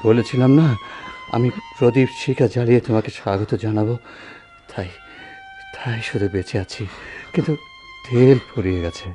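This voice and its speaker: An actor playing a dying, embittered but tender doctor in a radio drama, speaking in a halting, poetic, theatrical way. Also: male voice, mid-range voice, music in the background, lightly acted. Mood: despairing. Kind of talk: Bengali radio drama acting.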